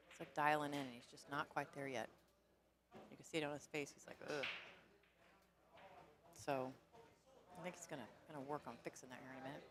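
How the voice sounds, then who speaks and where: A woman with a low alto voice commentating on a pool match in a casual, rambling way that laughs easily. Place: pool hall.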